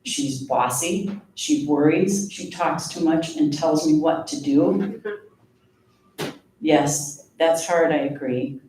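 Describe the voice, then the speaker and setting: A woman giving a live poetry reading of her own work, in a measured, deliberate way with pauses. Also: low-pitched, warm tone. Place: live reading event before an audience. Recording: very echoey room, distant mic, faint noise.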